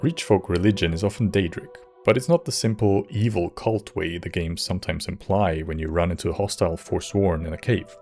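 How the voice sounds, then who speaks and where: A man giving scripted narration for a game lore video in a measured, slightly wry way. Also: low voice, a little mellow, faint music behind.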